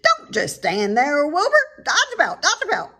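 A woman's voice: sits high, wildly swooping pitch, normal speed, pushed and bright, voice pushed up, full character voice. A woman voicing a bossy, frantic farm goose in a storybook, honking urgently and repeating herself. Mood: frantic, commanding.

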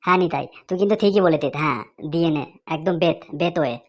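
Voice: mid-range voice, fast, lightly acted. An actor voicing a reasonable village friend in a comedy cartoon, advising. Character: reasonable village friend giving calm advice.

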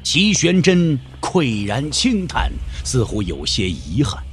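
A man's voice: mid-range voice, huge pitch swings, takes it slowly, slight background noise. A man giving dramatic scripted narration for an audio drama, theatrical and emphatic.

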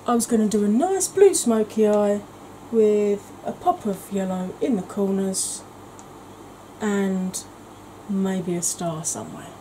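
A woman's voice: mid-range voice, takes it slowly, a little hiss or hum, rough recording. A woman, chatting casually and wandering off topic in a home makeup vlog. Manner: rambling, self-mocking, soft-spoken at times. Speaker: makeup vlogger. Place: indoor home room, kitchen.

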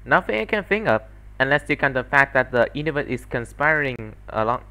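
A man voicing an eager, wry young woman sleuth in visual novel dialogue, animated and teasing. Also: medium pitch, brisk.

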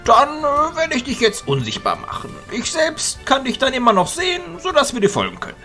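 An old grandfatherly wizard for an audio drama. The voice is very high and lively in melody, with a sharp edge. He speaks in a drawn-out, dry, unruffled way. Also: soft background music, heavily acted. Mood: matter-of-fact. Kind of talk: amateur audio drama acting.

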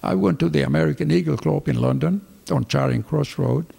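An older man with a Greek accent, telling his wartime memories in a lively storytelling manner, acting out the dialogue. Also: on the high side, a little fast, gravelly, breathy edge, long reverb tail. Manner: lively storyteller, acts out dialogue with hand gestures. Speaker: veteran fighter pilot. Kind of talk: recounting war memories. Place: office with flags, indoors. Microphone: desk microphones in front.

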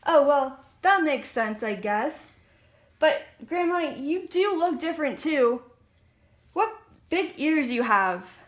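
A woman's voice: high-pitched, expressive, slow pace, echoey room. A woman voicing a flippant, dramatic teenage girl in a puppet show, with an exaggerated, whiny delivery. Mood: puzzled.

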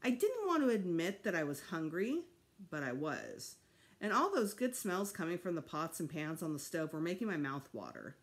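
Woman reading a storybook, lively pitch. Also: normal speed. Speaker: teacher reading aloud. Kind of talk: expressive children's storybook narration. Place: small room at home.

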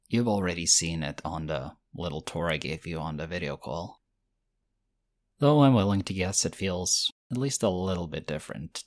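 A young man voicing an affectionate, teasing boyfriend in an audio roleplay, speaking softly and hushed. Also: low-pitched. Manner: soft, hushed, teasing with light laughs. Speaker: voice actor. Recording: steady ambient background noise. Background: busy airport ambience.

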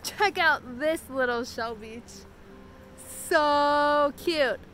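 A woman's voice: high voice, extremely slow, fairly bright tone, faint music behind, rough recording. A woman in her thirties chatting enthusiastically and giggling as she vlogs outdoors on a wooded island shore, filming on a phone held at arm's length. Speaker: sailing vlogger. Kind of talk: casual vlog chat.

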